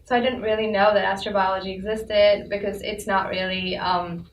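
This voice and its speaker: A young woman from India, a student telling her story in a lively, giggly way. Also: mid-range voice, moderate pace. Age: young adult. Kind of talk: casual panel chat. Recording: echoey room, mic far off, faint noise.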